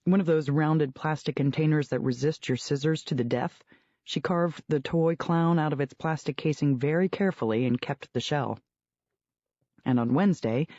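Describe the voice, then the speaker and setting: Guy reading an audiobook, warm soft tone, dry kinda muffled recording. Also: a little high, no room sound. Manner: steady read-aloud storytelling. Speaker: audiobook narrator. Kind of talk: scripted narration of a novel. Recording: dull, lo-fi dry sound.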